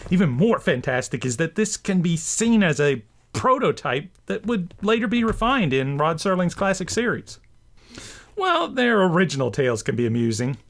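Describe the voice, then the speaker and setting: A man's voice: fairly high pitch, a bit bright. A man hosting a scripted video essay, narrating with enthusiasm and an emphatic, theatrical delivery. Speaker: host and writer.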